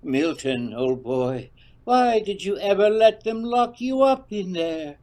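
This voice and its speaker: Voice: medium pitch, expressive, slow pace, a little mellow, pitched above the performer's own voice. A performer voicing an eccentric, menacing male government agent with a theatrical, swooping delivery in an audio drama. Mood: gleeful, unhinged.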